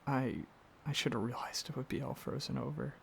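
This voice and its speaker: A man playing a gentle, affectionate dragon boyfriend in an intimate ASMR roleplay, speaking softly and earnestly with some hesitant rambling. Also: mid-range voice, steady tone, fairly quick, slight background noise, lightly acted. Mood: apologetic, nervous. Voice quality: soft, husky, slightly gravelly, breathy.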